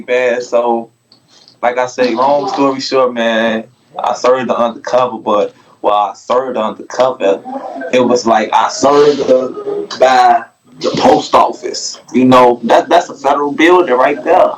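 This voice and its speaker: A man's voice: mid-range voice, normal speed, background noise. A man who is a podcast guest, telling a long casual story in a rambling, profane way and asking "you feel me" again and again.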